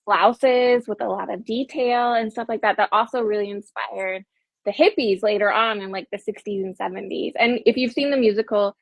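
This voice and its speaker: A woman's voice: mid-range voice, steady tone, normal speed, gravelly, dry recording. A woman from the United States hosting a casual chat, talking in a chatty way full of fillers and giggles. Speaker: host. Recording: dull, dry lo-fi audio.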